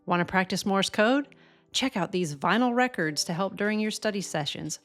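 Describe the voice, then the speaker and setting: A woman's voice: medium pitch, animated, moderate pace, fairly bright tone, faint music behind. An American woman co-hosting a hobby radio channel, reading scripted narration. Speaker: co-host of a ham radio channel.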